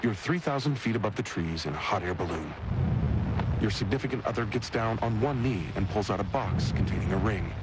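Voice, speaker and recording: Low-voiced male ad narrator amid loud noise. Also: expressive, fairly quick. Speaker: commercial announcer. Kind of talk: scripted second-person ad narration.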